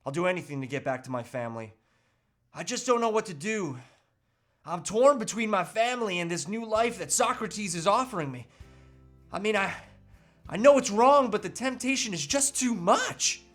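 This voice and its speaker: Guy doing a whiny reluctant dad, kinda high voice, earnest, comedy table read, faint music. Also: lively intonation, normal speed, fairly bright tone. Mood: torn, anguished. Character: anguished, reluctant family man tempted astray.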